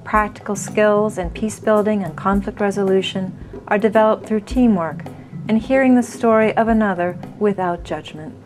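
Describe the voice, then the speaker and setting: A woman reading a scripted narration in a smooth, even manner. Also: medium pitch, moderate pace, soft background music.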